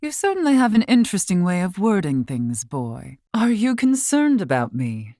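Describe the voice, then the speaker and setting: A woman playing a wise, courtly elder of a fantasy race in a video game, speaking formally and with measured, gently teasing poise. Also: mid-range voice, wildly swooping pitch, dry recording, studio quality. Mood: gently amused. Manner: formal, measured, archaic wording. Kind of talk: scripted game character dialogue.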